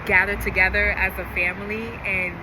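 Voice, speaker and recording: A young woman from the Dominican Republic answering interview questions in a relaxed, hesitant way with frequent pauses. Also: mid-range voice. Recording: noisy outdoor recording with traffic.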